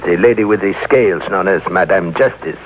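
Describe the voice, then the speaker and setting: Actor as composed low-voiced ship's doctor. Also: male voice, a little fast, lightly acted. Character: genial, composed celebrated psychiatrist, ship's stand-in doctor. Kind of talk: 1940s radio mystery drama acting. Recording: muffled old radio tape, faint noise.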